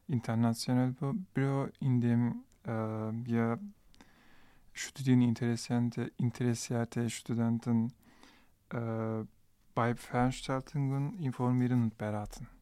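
A man talks haltingly on a podcast, pausing on fillers and restarting words. His voice is mellow and smooth, the pace slow and the sound dry and close. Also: mid-range voice, level tone, no echo. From Turkey. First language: Turkish. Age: 20s. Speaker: guest, international student. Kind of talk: podcast interview.

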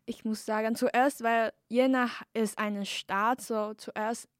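Slow, hesitant woman speaking learner German. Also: fairly high pitch, steady tone, dry recording, clean studio sound. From Taiwan. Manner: hesitant learner's German with many fillers and restarts. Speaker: exchange student guest. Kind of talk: podcast interview.

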